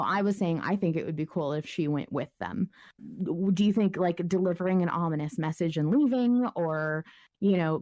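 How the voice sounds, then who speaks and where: Female podcast host, casual and conversational, soft mellow tone, close dry sound. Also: on the low side, no echo, lo-fi sound.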